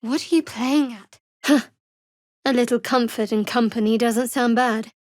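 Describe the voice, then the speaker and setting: A woman playing a guarded, sardonic young female cleric of a dark goddess in a video game, with a dry, wry delivery. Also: fairly high pitch, measured pace, dry recording, natural voice. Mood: soft, companionable. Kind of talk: video game character dialogue. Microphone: close studio microphone, dry.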